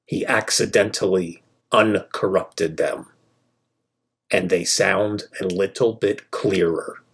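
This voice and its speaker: A man narrating a scripted video about a video game, in short, measured phrases. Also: low-pitched, fairly even intonation, a bit bright, slightly rough.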